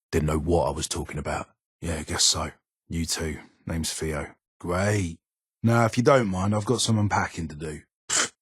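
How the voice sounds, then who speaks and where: Casting: a grumbling, guarded older British ghoul engineer for a game; deep gravelly voice, close dry sound. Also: male voice, no echo. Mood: irritable, curt. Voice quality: raspy, gravelly. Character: gruff, irritable older male ghoul weapons engineer. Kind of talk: video game character dialogue.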